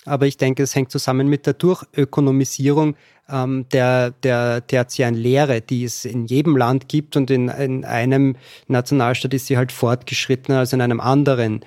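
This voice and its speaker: A man with an Austrian accent, a podcast guest explaining things casually in careful, deliberate High German meant for learners. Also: level tone, darkish tone, no echo, studio quality.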